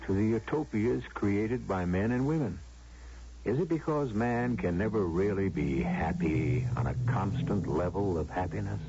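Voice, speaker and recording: A man hosting a radio drama with scripted, theatrical narration in a measured manner. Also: deep voice, dark tone, slight background noise. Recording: muffled old radio broadcast recording.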